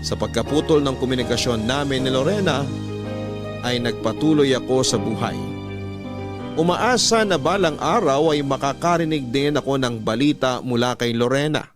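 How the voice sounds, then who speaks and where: A man with a mid-pitched voice narrates a scripted first-person story in the manner of a radio storyteller. Violin music plays clearly behind him. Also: normal speed.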